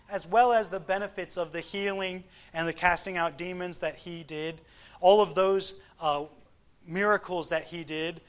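A man preaching an expository sermon, explaining scripture in deliberate, emphatic short phrases. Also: high-pitched, a bit bright.

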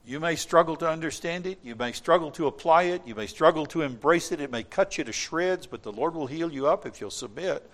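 A man preaching a sermon to his congregation, emphatic and repeating words when stressing a point, reading scripture aloud in measured tones. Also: a little high, a bit bright. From from outside Australia. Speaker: pastor.

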